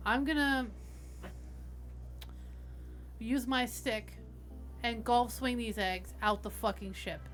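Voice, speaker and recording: A woman's voice: a little high, takes it slowly, pauses often, fairly bright tone. A woman playing a tabletop game, chatting casually in blunt, slangy, sweary language over background music.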